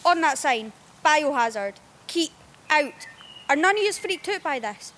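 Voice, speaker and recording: A woman's voice: high voice, animated, a little slow, a bit bright. A woman acting an anxious, questioning teenage girl in a radio horror drama. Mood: nervous. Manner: wary, questioning. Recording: noisy ambient background.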